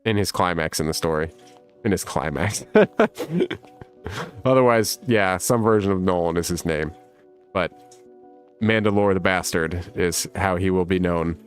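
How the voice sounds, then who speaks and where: A man in his thirties hosts a relaxed livestream, his low voice carrying a slight rasp. He rambles and laughs his way through viewer comments, and soft music plays faintly behind him. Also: a little slow, long pauses. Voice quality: rough smoker's voice. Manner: laid-back and rambling, laughs often. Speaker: livestream host. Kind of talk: casual livestream chat reading viewer comments.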